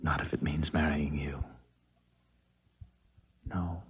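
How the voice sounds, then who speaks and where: Hesitant ex-con in old 70s radio drama, low husky voice, guarded, dull muffled recording. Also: male voice, little pitch movement, slow pace, heavily acted. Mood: reluctant, wary. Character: reluctant ex-con young man, wary, lovestruck accomplice. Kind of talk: 1970s radio drama acting.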